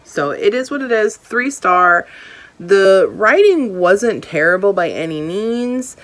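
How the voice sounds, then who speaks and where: A woman's voice: mid-range voice, animated, takes it slowly. A young woman reviewing a book on her vlog in a casual, emphatic and opinionated way, often repeating herself for stress. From Hawaii. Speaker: book vlogger.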